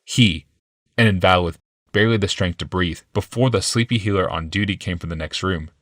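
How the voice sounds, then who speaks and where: A man's voice: low-pitched, fairly quick, a bit bright, no room sound, clean studio sound. A man narrating fiction as a scripted, dramatic audiobook.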